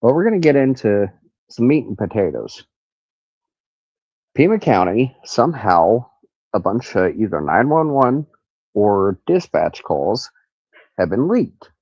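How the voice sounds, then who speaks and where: A man's voice: fairly low pitch, sing-song, a little slow, pauses often, darkish tone, husky, no echo, highs cut off. A man with a nasal voice hosting a rambling, casual true crime livestream from an outdoor carport in the country. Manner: rambling, stops to look things up. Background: crickets and cicadas.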